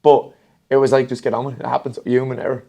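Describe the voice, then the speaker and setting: A man's voice: mid-range voice, level tone, fast talker, creaky, dark tone. A young man from the north of England co-hosting a football podcast. He rambles in casual chat, full of "like" and "do you know what I mean.". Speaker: podcast co-host.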